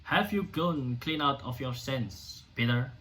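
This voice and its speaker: A man playing a defiant, indignant doctor orating to a hostile crowd in an amateur dramatic reading of a stage play, emphatic and sometimes halting. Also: mid-range voice, a bit bright, natural voice. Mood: lecturing, scornful. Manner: emphatic, declamatory, halting in places.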